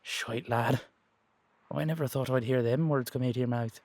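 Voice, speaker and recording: A man playing a gruff, blunt supernatural Dullahan with an or in an audio roleplay. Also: mid-range voice, steady tone, moderate pace, a little mellow, dry recording, voice pushed down, close to an everyday voice. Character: gruff older male Dullahan with a brogue, calling others lad.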